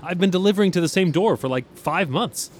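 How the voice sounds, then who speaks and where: A man's voice: high-pitched, lively intonation, quick pace, husky. A man playing an earnest, awkward, squeamish meal delivery helper in a comedic audio drama, hesitant and literal-minded. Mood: earnest, rambling.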